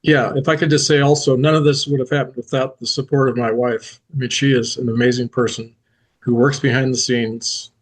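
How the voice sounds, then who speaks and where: A man's voice: mid-range voice, flat delivery, brisk, low-quality recording. Sincere man praising his wife. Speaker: ministry leader.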